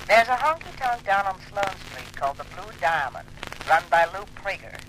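A man's voice: sits high, very animated, moderate pace, sharp tone, full character voice. A man playing a mysterious anonymous informant in a 1950s radio drama, speaking in a gleeful, teasing way. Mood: gleeful taunting. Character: mysterious, taunting anonymous male telephone informant. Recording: noisy old radio drama recording.

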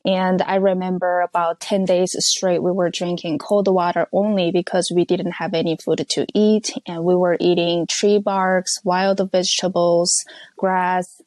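Guest, woman, 30s, North Korean accent, Korean native speaker; measured, careful delivery over a phone line, low register, dry sound. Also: little pitch movement, a little mellow, dry recording. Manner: measured, careful, with frequent pauses and fillers. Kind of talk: personal testimony interview. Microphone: phone call.

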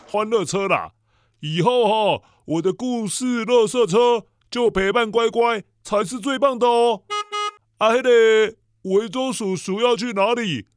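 High-pitched excitable Taiwanese uncle. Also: male voice, no echo. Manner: exclamatory, folksy, stammering in indignation. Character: excitable old Taiwanese uncle, garbage-truck storyteller turned space hero. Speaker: children's story show host. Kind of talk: children's radio play acting. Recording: music loud behind the voice.

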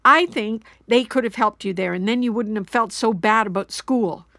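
A woman's voice: a little high, normal speed, fairly bright tone, dry recording, studio quality. A middle-aged woman playing an anxious, apologetic mother who rambles and frets in a naturalistic audio drama. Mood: apologetic, rambling. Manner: rambling, fretful, self-blaming.

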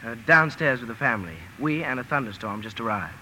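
Eager young gentleman, medium pitch. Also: animated, a little fast, a little hiss or hum, rough recording. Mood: bright, greeting. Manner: earnest, polite. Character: affectionate, eager young newly married gentleman. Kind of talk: 1950s radio drama acting. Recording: old radio broadcast with music.

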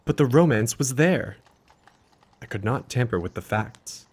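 A loyal and concerned male army doctor acted by a man in a Victorian mystery audio drama. He has a medium-pitched voice and speaks formally, with earnest care. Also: animated, a little slow, darkish tone, breathy edge.

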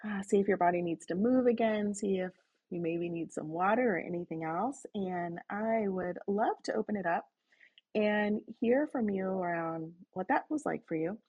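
A woman hosting an online session, guiding a slow breathing and reflection practice in a soft, inviting manner. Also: medium pitch, slightly rough, lo-fi sound. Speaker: host leading a guided breathing session. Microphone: online call.

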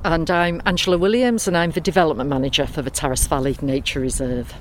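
A woman from Leeds, a development manager, answering calmly and measuredly with hesitant fillers in an outdoor interview. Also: deep voice, fast, background noise. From Leeds, Yorkshire. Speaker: nature reserve development manager. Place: open moorland outdoors.

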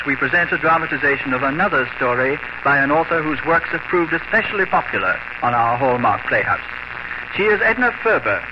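Host: measured, cultivated male narrator, slightly high voice, dull old broadcast with music. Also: very noisy. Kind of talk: formal radio introduction and narration.

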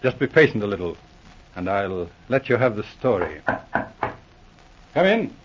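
An actor playing a brisk, no-nonsense male police inspector in a 1940s radio drama, who speaks in a crisp, commanding, matter-of-fact way. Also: on the low side, sing-song, noisy background. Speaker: lead investigator. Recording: dull lo-fi 1940s radio recording.

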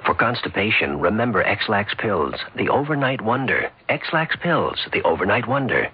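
A man's voice: lowish voice, sing-song, normal speed, breathy edge. A man announcing a scripted radio commercial. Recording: dry, dull radio broadcast.

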